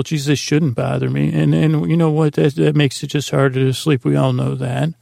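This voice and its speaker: A man with a creaky, gravelly voice hosting a sleep podcast in a meandering, halting, self-deprecating monologue full of tangents. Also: mid-range voice, darkish tone, dry recording, studio quality. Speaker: podcast host.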